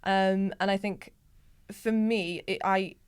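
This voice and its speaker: A woman's voice: mid-range voice, fairly even intonation, takes it slowly, clean tone, dry recording. A woman from Poland speaks with a British accent as a guest in a casual discussion. She is earnest and repeats herself as she sorts out her thoughts. The recording is dry and close. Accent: British English. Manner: earnest, with frequent repetition. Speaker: panel guest.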